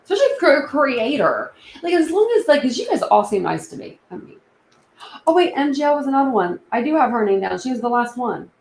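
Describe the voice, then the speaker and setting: A woman's voice: high-pitched, sing-song, fast talker, reverberant, a little hiss or hum. A young woman hosting a casual true crime livestream, rambling and chatty with many restarts. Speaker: YouTube true crime channel host.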